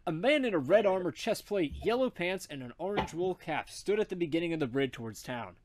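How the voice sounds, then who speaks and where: A man's voice: high voice, very animated, fairly quick, darkish tone, dry recording. A man narrating a comic story as he reads it aloud. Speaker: narrator, reader.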